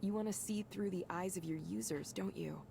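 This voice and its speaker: A woman's voice: mid-range voice, a little fast, creaky, dark tone, slight background noise, close to an everyday voice. An actress playing a friendly, starstruck woman manager in a video game, chatty, earnest and reassuring. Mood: curious. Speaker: manager of counseling centers.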